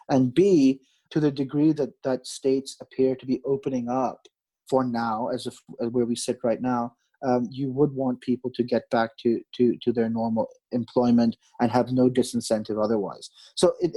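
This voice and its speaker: A man in his late thirties, originally from Pakistan, speaks as a market strategist guest on a podcast. His voice is mellow and dark, at a middling pitch. He explains things at length, stopping often to restart a phrase or add a filler. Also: husky, poor audio. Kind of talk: podcast interview answers.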